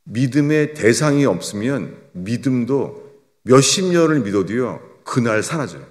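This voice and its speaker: An older man preaching a sermon as a pastor, swinging between raised emphatic lines and low, quiet drops. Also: big pitch swings, unhurried. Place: church sanctuary. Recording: long church reverb.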